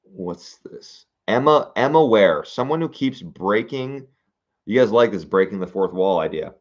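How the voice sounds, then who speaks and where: A young man with a low-pitched voice chats casually on a livestream, picking through viewer suggestions. He muses aloud with plenty of ums and restarts. The sound is a little muffled and lo-fi. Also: animated. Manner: musing aloud, reading comments, halting with fillers. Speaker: streamer reading viewer suggestions.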